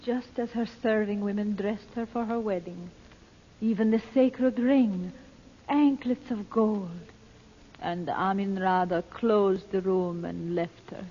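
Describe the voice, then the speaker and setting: An actress playing a solemn, fearful Egyptian woman, speaking slowly and gravely in old-time radio drama style. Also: medium pitch, steady tone, mellow, natural voice. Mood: hushed awe. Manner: slow, measured, grave delivery. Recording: muffled, hissy old radio recording.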